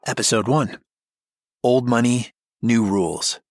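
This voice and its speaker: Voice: mid-range voice, measured pace. An announcer reading a scripted drama series introduction. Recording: loud music under the announcement.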